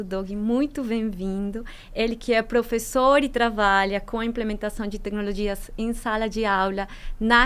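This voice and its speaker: A woman from Colombia with a Colombian Spanish accent, moderating a videocast panel with measured introductions. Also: a little high, clear, resonant tone.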